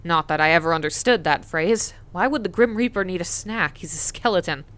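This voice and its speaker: A woman's voice: lowish voice, expressive, brisk, a bit bright. Fussing, caring big sister, played by a woman. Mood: teasing concern. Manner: fussing, playful, insistent. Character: caring, bossy, teasing sister, a mother of kids. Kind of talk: scripted comic dub acting.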